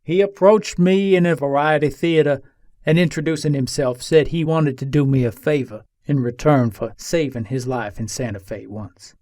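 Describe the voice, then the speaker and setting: Man acting a higher-voiced embattled Old West gunslinger. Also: mellow, no echo, studio quality, natural voice. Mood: measured recounting. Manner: formal, deliberate storytelling with old-fashioned wording. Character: embattled Old West gunslinger pleading his case from jail. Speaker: voice actor in dramatic reading. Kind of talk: dramatic reading of an old newspaper interview.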